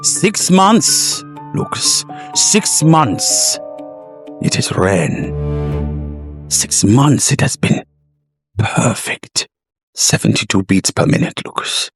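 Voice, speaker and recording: Man voicing a proud professor, hushed and gravelly. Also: mid-range voice, big pitch swings, music in the background, voice pushed up, heavily acted. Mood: proud, fervent. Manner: halting, deliberate phrasing with rhetorical tags. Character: proud middle-aged male inventor professor, fervent and self-assured.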